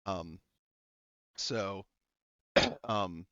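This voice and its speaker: A man's voice: deep voice, drawn-out words, pushed and bright, clean tone, no echo. A man running a tabletop game as game master, rambling loosely and laughing often. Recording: dull, lo-fi mic sound.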